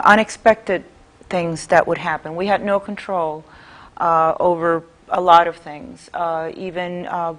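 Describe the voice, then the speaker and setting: Woman from Puerto Rico, studio interview guest, low-ish voice, careful and halting delivery. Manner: measured, with frequent "uh" pauses. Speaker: union member guest.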